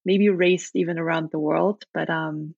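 A woman's voice: deep voice, steady tone, normal speed, creaky, darkish tone, breathy edge, dry recording, lo-fi sound. A woman in her thirties from Austria with an Austrian accent, chatting thoughtfully as a podcast guest with many restarts and fillers. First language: German. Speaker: podcast guest, travel blogger. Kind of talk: casual interview chat.

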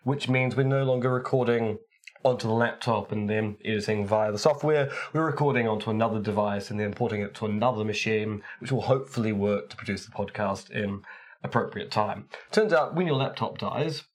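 A man co-hosting a podcast, his voice husky from laryngitis with vocal fry, trading dry teasing banter. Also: lowish voice, brisk. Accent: New Zealand. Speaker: podcast co-host. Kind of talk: casual chat.

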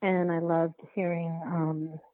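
A woman being interviewed as a documentary filmmaker, talking casually and haltingly, repeating and rephrasing as she thinks aloud, over a remote call. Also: fairly low pitch, level tone, dry recording. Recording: phone-like narrow-band line.

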